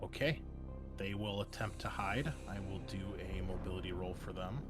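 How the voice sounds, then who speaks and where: A man with a fairly low voice acts as game master for a tabletop roleplaying session. He talks conversationally through rules and options, correcting himself as he goes. Soft music plays behind him. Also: normal speed. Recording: background music under the voice.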